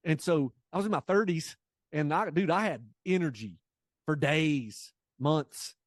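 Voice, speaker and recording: A man in his late forties with a slightly raised pitch speaks as a coach being interviewed. His delivery is casual and energetic, acting out bits of dialogue, and the sound is dry with little room around it. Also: unhurried, no room sound. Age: late 40s. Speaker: guest, business coach and sales trainer.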